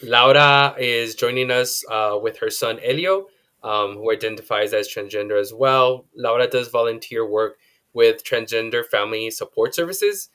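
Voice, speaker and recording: A man moderating a panel discussion, speaking in a measured, affirming way with frequent ums. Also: medium pitch, bright, forceful tone, smooth.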